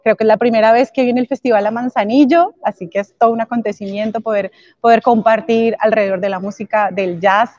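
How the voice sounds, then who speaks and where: A woman's voice: on the high side, fairly even intonation, a little fast, a little mellow, no room sound, muffled recording. A woman from Colombia, a jazz singer, speaking upbeat and inviting as a guest on a morning news interview.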